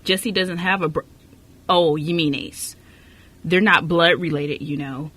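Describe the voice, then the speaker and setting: A woman's voice: on the low side, steady tone, a little slow, a bit bright. A woman playing a stern, disapproving orphanage director in an amateur radio play, formal and blunt.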